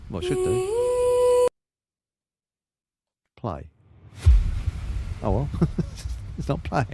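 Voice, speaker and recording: A man's voice: very high-pitched, wildly swooping pitch, very slow, very noisy. A man hosting a live radio show in casual, rambling chat, often muttering to himself.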